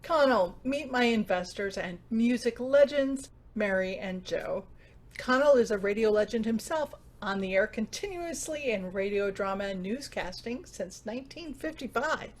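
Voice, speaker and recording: A woman's voice: fairly high pitch, expressive, normal speed. Woman playing a stern, officious station boss. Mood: formal. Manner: officious, curt. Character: stern, exasperated female radio station managing director. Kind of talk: scripted comedic audio drama.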